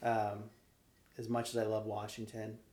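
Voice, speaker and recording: A man being interviewed, answering with pauses, fillers and laughs, who grew up in Nevada. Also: on the low side, monotone, a little mellow, echoey room. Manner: hesitant, laughing, lots of fillers. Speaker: interview guest. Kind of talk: casual conversation.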